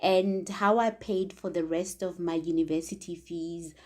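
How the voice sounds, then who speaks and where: A woman's voice: lowish voice, fairly even intonation, unhurried, a little mellow. A young South African woman, a native Sepedi speaker with a South African accent, earnestly and reflectively describing her career path as an internal auditor in an interview. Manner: earnest, reflective, many fillers.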